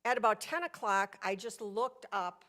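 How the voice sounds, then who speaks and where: A woman speaking at a podium microphone in council chambers, telling a personal story during public comment in a loud, chatty voice. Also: medium pitch, normal speed. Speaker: resident speaking at public hearing.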